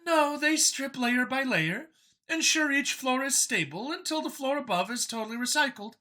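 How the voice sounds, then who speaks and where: A female avian alien pilot played by a male narrator speaks in a raised, bright voice. She is brisk and matter-of-fact, explaining things in clipped phrases like a science fiction audiobook character. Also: extremely high pitch, lively intonation, voice pushed up, full character voice.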